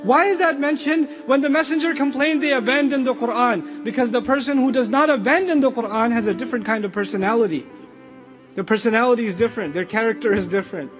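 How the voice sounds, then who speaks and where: A man's voice: very high voice, fast, over music. A man giving an Islamic lecture in an emphatic, explanatory way, often repeating phrases. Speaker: religious lecturer.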